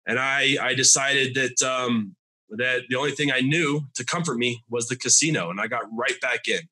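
A man in his thirties from Arizona, telling his recovery testimony as a podcast guest. He talks haltingly and often repeats and restarts his words. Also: medium pitch, sharp tone, slightly rough, rough recording. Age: 30s.

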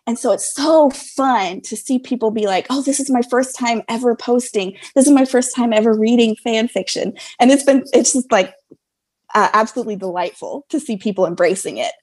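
A woman's voice: on the high side, moderate pace, darkish tone. A woman answering interview questions as a guest, earnest and emphatic, repeating phrases for weight. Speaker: podcast guest, fan fiction writer.

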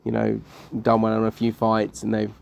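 A man talks loosely in a casual podcast chat. His voice has a gravelly, fry-edged texture, and his sentences start over and trail off as he thinks aloud. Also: fairly low pitch, monotone, normal speed, darkish tone. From Northwest London. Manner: rambling, many restarts and trailing sentences.

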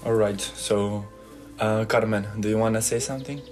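Young portuguese guy, lowish voice, asking questions w lots of uhs. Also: steady tone, moderate pace, darkish tone, soft background music. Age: young adult. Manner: hesitant, many fillers. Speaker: student interviewer. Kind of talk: casual group interview.

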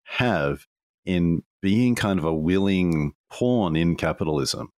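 A man hosting a podcast interview, asking measured, reflective questions. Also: sits low, expressive, a little mellow, dry recording, studio quality.

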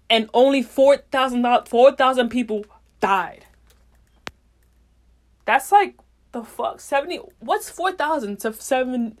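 Woman doing a solo podcast, slangy and sweary, just rambling and thinking out loud, totally unfiltered. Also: fairly high pitch. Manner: meandering, thinking aloud. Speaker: podcast host. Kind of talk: casual rambling monologue.

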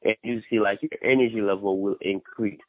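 Male fitness trainer, Haitian accent, slightly low pitch, conversational and repetitive, heard over a narrow telephone-style radio line. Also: steady tone, a little fast, dry recording. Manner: repeats phrases, often tags with "you know". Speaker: personal trainer, interview guest. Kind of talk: casual interview, giving fitness advice. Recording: tinny phone-like radio line.

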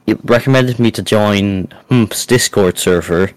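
A man's voice: lowish voice, unhurried, clean tone, dry recording. A young man chatting casually as a podcast guest, in a self-deprecating way. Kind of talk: casual chat.

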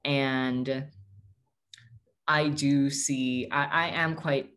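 A man's voice: mid-range voice, fairly even intonation, extremely slow, a bit bright. Young guy, tagalog is his first language, earnest interview answers, mid-range voice, keeps restarting his sentences. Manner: earnest and reflective, with frequent self-corrections. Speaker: interviewee, activist.

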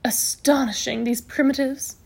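A woman voicing a brisk, bossy, self-assured hospital matron in a storybook, scolding cheerfully in emphatic exclamations. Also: high-pitched, fairly even intonation, vocal fry. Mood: amused.

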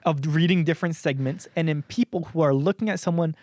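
A young man from Canada co-hosting a casual podcast, his voice sitting slightly high. He talks loosely, swears freely and laughs often. The sound is dry and close, with no room in it. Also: quick pace, darkish tone, no room sound. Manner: laid-back, swears a lot, laughs often. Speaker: podcast co-host. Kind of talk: casual chat.

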